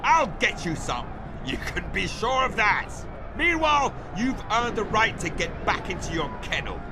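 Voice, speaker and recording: An actor in a video game playing a mocking, gloating master of a fighting pit, with a sneering, theatrical, taunting delivery. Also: male voice, high-pitched, very animated, moderate pace, background noise. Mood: gleeful taunting. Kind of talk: dramatic video game voice acting.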